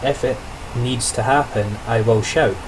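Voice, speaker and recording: A man's voice: medium pitch, measured pace, noisy background. A young man, a trainee teacher, talking through his day in a casual video diary, chatty and reflective with frequent self-corrections and small laughs.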